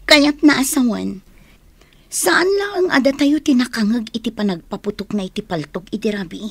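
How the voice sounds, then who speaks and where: Animated, fretful young Filipino village woman. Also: on the high side, measured pace, breathy edge, dry recording, full character voice. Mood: gossipy, aggrieved. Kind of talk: radio drama acting.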